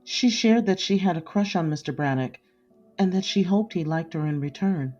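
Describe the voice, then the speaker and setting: A woman's voice: on the low side, normal speed, warm tone, dull audio, faint music behind, close to an everyday voice. An actress playing a female witness under oath who answers in a guarded, reluctant way.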